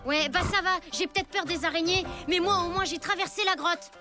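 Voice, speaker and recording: A plucky little cartoon kid, super high voice that jumps all over, loud music behind. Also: female voice, a little fast. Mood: defiant. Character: plucky, bold, excitable young child in a cartoon. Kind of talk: animated children's cartoon acting.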